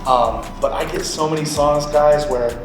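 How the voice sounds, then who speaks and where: A man's voice: a little high, steady tone, moderate pace. A man speaking as a guest radio programmer on a panel, giving advice to artists and repeating key points for emphasis. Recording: loud music behind, roomy sound.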